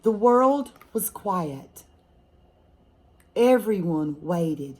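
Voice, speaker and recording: A woman teacher reading a picture book aloud to children, expressively and deliberately, pausing to question her young listeners. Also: mid-range voice, lively intonation, a little mellow.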